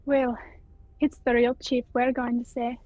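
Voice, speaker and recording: High-pitched bossy cop played by a woman. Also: level tone, unhurried, a little mellow, no echo. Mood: sly, menacing. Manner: bossy, taunting. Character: gruff bossy policeman in a crooked pair. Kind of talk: old-fashioned radio play acting. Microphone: video call. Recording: muffled video-call audio.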